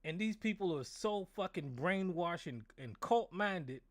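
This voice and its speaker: A hoarse American man, a show host, ranting angrily and profanely, repeating himself and stumbling over words. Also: high voice, expressive, unhurried, no room sound. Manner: angry, profane, repetitive. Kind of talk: political rant on a call-in show.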